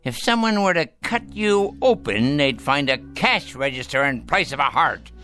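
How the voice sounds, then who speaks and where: An actor playing a dying, wealthy old grandfather in a radio drama, sardonic, theatrical and deliberate as he mocks his family. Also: a little high, lively intonation, sharp tone. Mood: biting sarcasm. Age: older.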